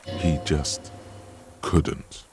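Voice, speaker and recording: An actor voicing a somber, all-knowing narrator in a detective video game, reading literary prose in a measured, solemn, gravelly voice. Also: male voice. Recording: music under the voice.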